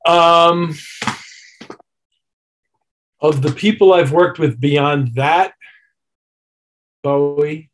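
A man with a husky voice talking as a musician guest in an interview, measured and reflective as he recounts his career. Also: a little high, steady tone, rough recording. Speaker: musician guest, touring keyboard player. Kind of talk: interview chat, telling career anecdotes.

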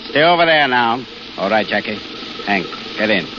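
An actor playing a cool, commanding outlaw boss in a 1930s radio drama, speaking in terse, tough slang. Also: male voice, on the low side, expressive, moderate pace, lightly acted. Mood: cool menace. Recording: muffled, crackling old radio broadcast.

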